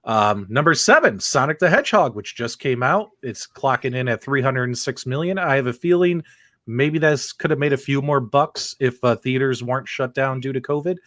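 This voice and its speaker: A man's voice: medium pitch, normal speed, fairly bright tone, no room sound, clean studio sound. A man hosting a live panel discussion in an upbeat manner, addressing the audience directly. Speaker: host.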